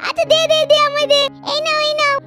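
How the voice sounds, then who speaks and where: Squeaky, eager little doll child. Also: female voice, monotone, fast talker, bright, forceful tone. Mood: busy, playful. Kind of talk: children's doll-story cartoon voice acting. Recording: faint children's music behind.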